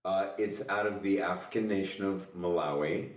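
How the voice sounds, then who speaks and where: A man's voice: sits low, normal speed. A man co-hosting a casual comedy podcast, talking in a playful, wordy, mock-formal way. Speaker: podcast co-host running a guessing quiz. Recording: roomy echo, distant from mic.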